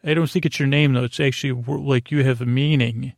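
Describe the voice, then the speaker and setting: A man hosting a bedtime podcast with a whimsical, meandering, halting monologue that often trails off, in a gravelly voice. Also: mid-range voice, steady tone, no echo, clean studio sound. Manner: whimsical, talks to an imaginary guest. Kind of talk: rambling improvised bedtime monologue.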